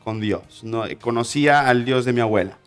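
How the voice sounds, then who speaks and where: A man's voice: mid-range voice, moderate pace, smooth, faint music behind. A man, telling his life story as an interview guest, hesitant with many fillers and restarts. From Honduras. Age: 20s. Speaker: interview guest, ministry instructor. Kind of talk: personal testimony in an interview.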